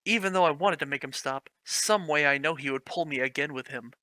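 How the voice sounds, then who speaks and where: Bright-voiced sarcastic man reading fanfic. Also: on the high side, fairly quick, no room sound. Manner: sarcastic, swears freely, laughs at the text. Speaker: host reading a fanfic aloud. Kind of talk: comedic reading with commentary.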